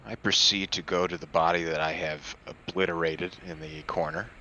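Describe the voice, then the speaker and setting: A man with a very low voice and a forceful, bright edge, playing in a tabletop game. He sounds eager and laughs easily. The recording is dull and lo-fi, with faint noise. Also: fairly even intonation, moderate pace. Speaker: player. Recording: dull lo-fi mic.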